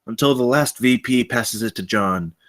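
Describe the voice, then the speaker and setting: A man's voice: medium pitch, normal speed, a bit bright. A man reading stage directions as scripted narration at a film table read.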